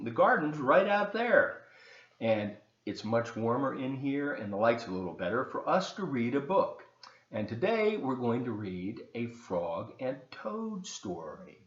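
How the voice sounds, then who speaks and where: A man talking to children as a volunteer storybook reader, explaining things in an animated, unhurried, folksy way. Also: medium pitch. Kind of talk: talking to children and reading a picture book aloud. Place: at home, partly in a living room. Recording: roomy, slightly muffled home video.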